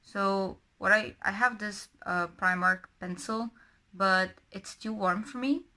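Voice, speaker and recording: A woman's voice: mid-range voice, fairly even intonation, takes it slowly, bright, forceful tone. A young woman from Portugal, chatting casually and rambling with many self-corrections while doing her makeup. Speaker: book and beauty vlogger.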